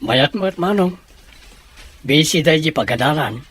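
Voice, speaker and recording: A man's voice: on the high side, expressive, measured pace, fairly bright tone, breathy edge, full character voice. A man playing a humble, polite younger brother in a radio drama who speaks deferentially to his older sibling. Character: humble younger brother Romeo, a proud father.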